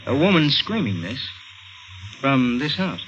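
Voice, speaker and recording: A kindly but doubting police detective in an old radio drama. His voice is bright and forceful, with lively swings of pitch and small hesitations. The recording is muffled and slightly noisy. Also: unhurried. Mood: businesslike. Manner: hesitant, soothing, colloquial. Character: patient, skeptical, kindly male police detective. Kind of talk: 1950s radio mystery acting.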